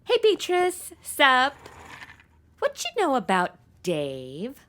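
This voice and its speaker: An actress playing a sassy, impatient modern twenty-something in a comedy audio drama, flippant and gossipy with plenty of slang. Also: female voice, high-pitched, huge pitch swings, slow pace, fairly bright tone, slight background noise, heavily acted. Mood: sassy, pushy.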